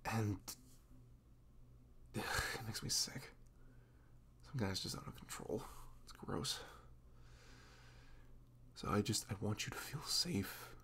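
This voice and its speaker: A man playing a sweet, goofy, self-conscious young boyfriend in an intimate ASMR roleplay, speaking in a halting, casual, reassuring way. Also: lowish voice, a bit bright. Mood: earnest, reassuring.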